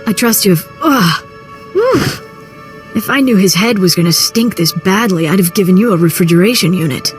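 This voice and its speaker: An actress playing a brash, sardonic, streetwise crime broker in a video game. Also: female voice, medium pitch, lively intonation, moderate pace, lightly acted. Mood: amused, disgusted. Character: brash, sardonic female underworld fixer. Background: loud music.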